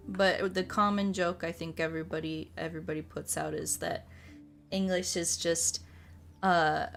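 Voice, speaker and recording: A sleepy American woman chatting casually as she streams a puzzle game, soft-spoken and relaxed, musing and answering viewers. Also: lowish voice. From United States. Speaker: ASMR creator and live streamer. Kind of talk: casual chat while playing a puzzle game, reading and answering viewers' comments. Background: soft music.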